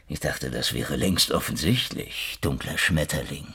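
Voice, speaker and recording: An actor playing a cynical, sardonic, menacing Soviet private detective who also narrates, in a hardboiled radio crime drama. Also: male voice, rumbling low, lively intonation, slow pace, creaky, bright, forceful tone, breathy edge, exaggerated voice. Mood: sardonic, seductive. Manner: sardonic, world-weary.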